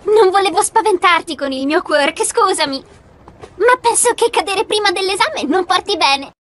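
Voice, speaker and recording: A woman voicing a friendly, apologetic teenage girl in an animated dub. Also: very high-pitched, moderate pace. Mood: kind, apologetic.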